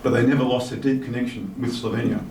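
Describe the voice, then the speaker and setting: A man's voice: mid-range voice, quick pace. Man speaking in a large echoey hall. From Australia. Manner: relaxed, with um fillers. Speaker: guest speaker reading a minister's message. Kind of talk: formal speech mixed with personal remarks. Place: large hotel reception hall. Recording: echoey hall from far off with faint noise.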